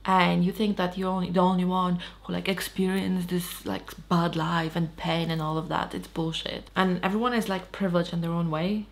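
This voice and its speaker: A woman's voice: fairly low pitch, level tone, moderate pace, few pauses. A woman in her thirties from Poland, giving frank, blunt motivational talk straight to camera. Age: 30s. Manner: frank, blunt, swears casually. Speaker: lifestyle vlogger.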